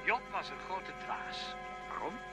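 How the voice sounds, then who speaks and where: A man's voice: high voice, sing-song, a little slow, music in the background, exaggerated voice. An actor playing a cynical, argumentative man in a radio drama, emphatic and opinionated.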